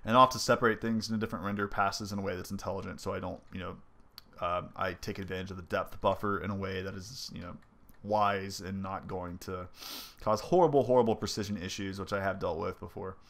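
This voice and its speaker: A young man with a somewhat low voice, stuffy and congested as if from a cold. He talks casually and loosely, thinking aloud with frequent ums and likes as he narrates his own gameplay footage. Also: steady tone, fast talker, fairly bright tone. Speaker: indie game developer. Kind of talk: casual devlog commentary over gameplay.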